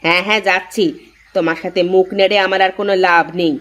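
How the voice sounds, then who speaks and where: A woman voicing a sharp-tongued, resentful young village wife in a cartoon, scornful and complaining. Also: mid-range voice, fairly even intonation, fast talker, lightly acted. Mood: scornful, grudging. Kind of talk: cartoon voice acting.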